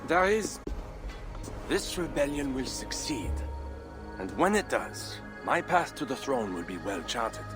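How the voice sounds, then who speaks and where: A man's voice: medium pitch, big pitch swings, a little slow, over music. A man playing a proud, ambitious Frankish count, formal and solemn, in a dramatic video game. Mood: ambitious, resolute.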